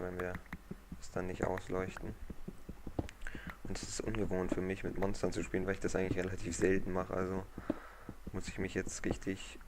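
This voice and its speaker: A hoarse man with a cold talks through his game in a low, gravelly voice that stays flat and even. He rambles and thinks out loud. A steady hiss of noise sits under the recording. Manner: relaxed, rambling, thinks aloud. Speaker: gaming channel host. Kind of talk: casual let's-play commentary. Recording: noisy home mic recording.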